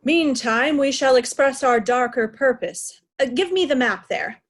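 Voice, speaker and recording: A woman's voice: sits high, lively intonation, measured pace. A woman playing an imperious aging king in a reading of Shakespeare, stately and commanding. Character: aging king, imperious, dividing his realm among daughters. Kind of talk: Shakespearean reader's theatre.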